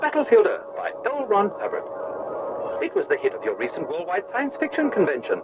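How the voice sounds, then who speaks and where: An actor voicing a gushing, excitable pitchman in an emphatic, hyped radio advertisement. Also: fairly quick, full character voice. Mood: excited, urging. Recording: muffled, noisy radio advertisement.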